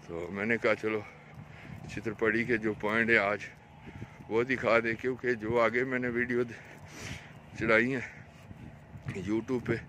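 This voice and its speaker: A man with a Pakistani accent talks casually to his viewers on an outdoor vlog. His voice sits at a medium pitch, and he repeats himself as he points things out. The handheld phone recording carries faint ambient noise. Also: sharp tone. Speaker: fishing vlogger. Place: outdoors on an open road along a dam. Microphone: handheld phone camera, speaker behind it. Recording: noisy outdoor phone video, ambient rumble.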